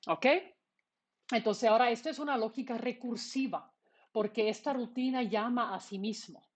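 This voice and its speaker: A woman's voice: on the high side, moderate pace. Woman teaching coding. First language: Finnish. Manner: explains step by step, asking and answering her own questions. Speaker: university lecturer. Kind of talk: online programming lecture.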